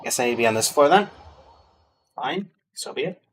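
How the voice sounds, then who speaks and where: A man's voice: mid-range voice, lively intonation, a little fast. Casting: male streamer, medium register, informal running commentary, muttered musings, game music underneath. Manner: informal, musing aloud to himself. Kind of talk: casual gameplay commentary.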